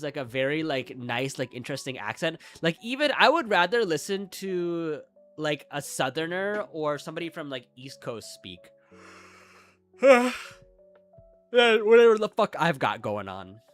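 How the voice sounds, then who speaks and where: A man's voice: fairly high pitch, fairly bright tone. A young man with a Midwestern American accent, rambling through a casual live-stream chat with lots of filler words. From Midwestern United States. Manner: rambling, chatty, frequent "like" fillers, self-deprecating.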